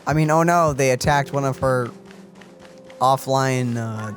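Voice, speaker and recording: A man's voice: medium pitch, a little slow, soft background music. A man co-hosting a let's play with casual, offhand, wry remarks. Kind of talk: casual gaming commentary.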